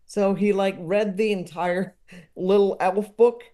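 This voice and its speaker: A man chatting casually as a podcast guest, talking in a halting, rambling way. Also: high-pitched, steady tone, dark tone. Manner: halting, rambling, with chuckling asides. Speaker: podcast guest, comedy enthusiast. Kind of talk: casual interview conversation.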